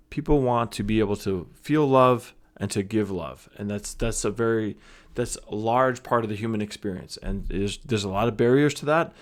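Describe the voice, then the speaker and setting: A low-voiced man gives casual commentary on a clip. He speaks in a hesitant, measured way, often pausing to find his words. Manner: hesitant, many fillers and restarts. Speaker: reaction video host.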